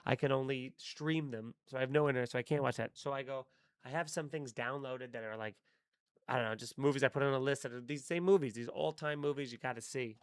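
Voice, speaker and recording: A man's voice: medium pitch, fast talker, smooth, no echo. Profane rambling man, dry sound. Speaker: comedian hosting a live stream. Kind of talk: casual live-stream chat reading chat comments.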